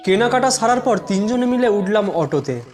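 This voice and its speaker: A man telling a scripted first-person suspense story in a measured, dramatic way, with music behind him. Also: sits high, expressive, a little mellow.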